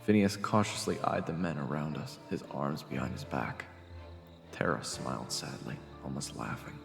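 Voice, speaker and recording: An echoing, reverberant low male voice, acted by a man, gravelly and grave, narrates a fantasy audio drama as a wary investigator. He delivers scripted storytelling over music, with long reverb trailing the words. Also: expressive, moderate pace, heavily acted. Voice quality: deep, gravelly.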